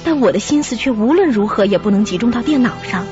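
A woman playing a sensible, caring young flatmate who narrates and acts in a radio drama, with a husky, breathy voice. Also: a little high, fast talker, lo-fi sound, over music, close to an everyday voice. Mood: uneasy narration.

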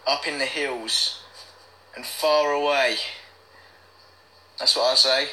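A man's voice: medium pitch, fairly even intonation, slow pace, sharp tone, a little hiss or hum, rough recording. A young man chatting casually on a livestream, reading out comments in a playful, silly manner. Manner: playful, silly, breaks into bits of song. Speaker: livestream host.

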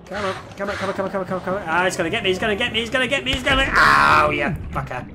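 A man's voice: high-pitched, very fast, gravelly, background noise. A man in his thirties with a head cold and a nasal voice, chatting casually over a game and swearing at the creatures on screen. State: head cold, stuffy nose. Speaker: gameplay streamer. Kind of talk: casual gameplay commentary. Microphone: headset.

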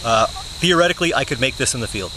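A young man hosting a bushcraft vlog, sitting outdoors in the woods and talking to the camera in a relaxed, plain-spoken way with frequent "uh" fillers. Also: mid-range voice, fast. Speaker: bushcraft channel host. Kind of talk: casual show-and-tell vlog. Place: woods outdoors, seated on the ground. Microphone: camera mic at close range. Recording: outdoor camera audio with insect noise. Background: crickets and insects.

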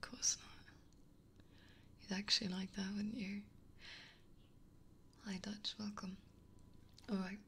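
A young woman streamer whispering softly and gently, chatting with viewers in an ASMR livestream. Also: medium pitch, level tone, slow pace, pauses often, slightly rough. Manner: soft whispering, gentle and reassuring.

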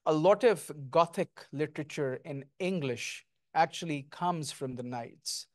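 Middle-aged male author, reflective and poetic, slightly high voice, handheld mic, dry. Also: unhurried, no room sound. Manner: thoughtful, lyrical, with frequent ums. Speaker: guest author. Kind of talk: onstage author conversation. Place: small stage before an audience, indoors.

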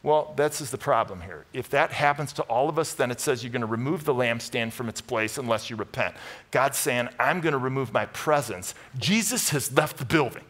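A man's voice: mid-range voice, fairly quick, fairly bright tone. A middle-aged American man preaching as a pastor in an earnest, informal, conversational way to a congregation. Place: large church auditorium, speaking from a stage.

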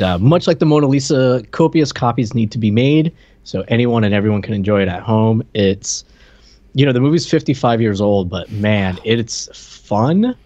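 A man's voice: fairly low pitch, a little mellow, no room sound. A middle-aged man co-hosting a podcast, chatting casually into a close desk microphone on a slightly dull stream. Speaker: podcast co-host. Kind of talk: casual chat on a film review stream. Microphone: desk microphone close up, video call.

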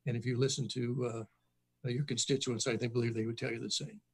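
An older man who is a police chief, addressing a council over a video call, halting with many fillers. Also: medium pitch, flat delivery. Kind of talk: formal meeting remarks.

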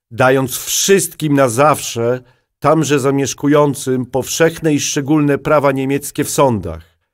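A man playing a medieval duke in an audio drama, proclaiming formally in a solemn, stately manner. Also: medium pitch.

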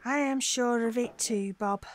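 Lady playing a feisty caring mum in an old fashioned drama, fairly high, close dry sound. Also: measured pace, no room sound, close to an everyday voice. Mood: fond. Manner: emphatic, old-fashioned phrasing. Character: warm-hearted, outspoken working mother, quick to indignation. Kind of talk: audio drama acting.

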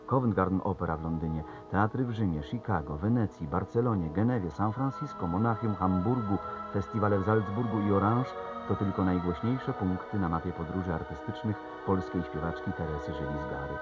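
A man's voice: deep voice, a little fast, muffled recording. A man presenting a TV program, reading formal scripted narration that steadily lists names and places. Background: music.

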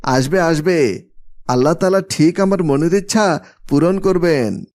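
A man's voice: a little high, unhurried, a little mellow, lightly acted. A man playing a poor, pious and earnest village farmer father in a cartoon. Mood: hopeful. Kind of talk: cartoon voice acting.

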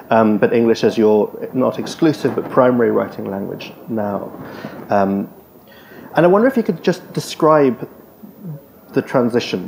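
A man's voice: medium pitch, gravelly, background noise. A man moderating a panel discussion on a festival stage, speaking with frequent hesitant fillers. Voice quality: hesitant, filler-heavy phrasing. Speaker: event chair.

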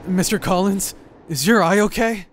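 A woman's voice: lowish voice, lively intonation, extremely slow, noisy background. A woman playing a timid, worried young boy in a fan dub.

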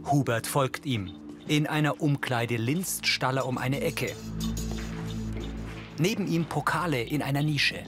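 A man's voice: medium pitch, a little slow, long pauses, music in the background. A man reading scripted audio description in a neutral, factual manner. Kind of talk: scripted narration.

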